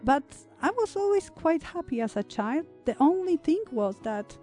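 A woman in her thirties from Poland, an English speaker, talking reflectively as an interview guest, with many pauses and fillers. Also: high voice, sing-song, dark tone, faint music behind. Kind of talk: conversational interview.

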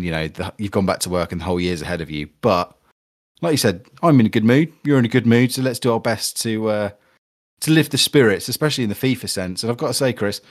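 A man hosting a casual gaming podcast, chatty and upbeat as he reads plugs and talks strategy. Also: lowish voice. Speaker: podcast host. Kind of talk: casual podcast chat about a football video game.

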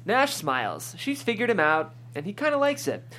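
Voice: mid-range voice, huge pitch swings, moderate pace, bright, forceful tone. A person reading screenplay stage directions aloud as scripted narration at a table read.

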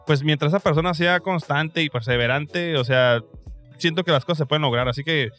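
A Mexican man in his forties talks in a rambling, slangy podcast chat, often restarting his sentences. He has a Mexican accent and a voice that sits a little high. Faint music plays behind him. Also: a little fast, fairly bright tone. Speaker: podcast host. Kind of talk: casual chat.

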